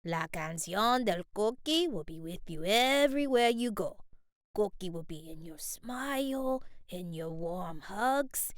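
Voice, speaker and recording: Gentle old grandpa in a picture book, low and hushed, slow and soothing, read by a woman. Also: animated, no room sound, studio quality. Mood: reassuring. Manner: soft, slow and reassuring. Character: warm gentle elderly grandfather.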